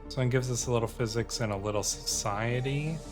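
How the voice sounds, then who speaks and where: A man hosts a gaming video over clearly audible game music. His voice sits at a middling pitch. He talks casually, rambling through options and questions as he thinks out loud. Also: fairly quick. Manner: rambling, musing aloud in questions. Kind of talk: casual let's-play commentary, thinking aloud, reading game text. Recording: music playing behind the voice.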